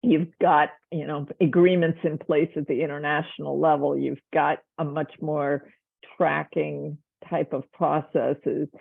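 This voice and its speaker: A woman's voice: sits low, level tone, unhurried, vocal fry, husky, dry recording. A woman speaking as a panelist on a video call, thoughtful and hedging with fillers. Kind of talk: virtual panel discussion. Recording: tinny phone-like dry sound.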